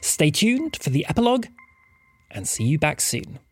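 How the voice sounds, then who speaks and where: A man's voice: a little high, very animated, moderate pace. A man reading scripted thanks and announcements as a podcast announcer. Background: loud music.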